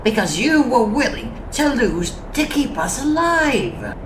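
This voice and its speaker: A woman's voice: a little high, very animated, slow pace, background noise. A woman playing a proud, theatrical, loyal robot second-in-command, eloquent and dramatic, in a comic dub with a roomy echo. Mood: earnest, pleading.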